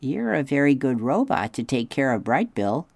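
A reader voicing a quiet, gentle young male beaver who speaks softly. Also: mid-range voice, big pitch swings, normal speed, creaky, no room sound. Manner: soft, quiet.

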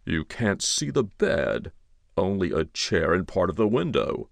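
Man reading a low, wry, laconic investigator. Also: very animated, unhurried, creaky, no echo, clean studio sound, full character voice. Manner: terse, mildly complaining, wry. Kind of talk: audiobook character voice.

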